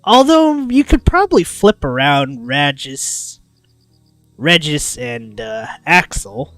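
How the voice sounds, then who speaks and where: A livestreamer reading a visual novel aloud with expressive narration and casual, goofy asides. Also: mid-range voice, slow pace, dry recording, soft background music.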